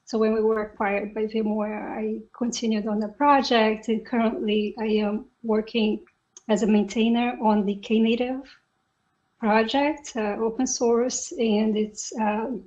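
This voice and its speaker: A Brazilian woman with a slightly raised voice talks through her work as a podcast guest at a slow, unhurried pace. She often breaks off mid-phrase to search for the right words. The audio is muffled and lo-fi. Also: on the high side, a little mellow. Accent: Brazilian Portuguese. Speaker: podcast guest, software engineer. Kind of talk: casual interview talk.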